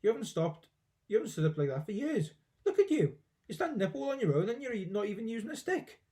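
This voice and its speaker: A man voicing an excitable, concerned young grandson in a storybook, calling out urgently. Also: high voice, fast, pitched above the performer's own voice. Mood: amazed. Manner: exclamatory, urgent.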